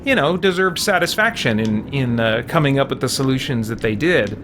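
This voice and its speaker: A man giving casual let's play commentary, musing in a halting way with repeated restarts. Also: medium pitch, animated, background noise.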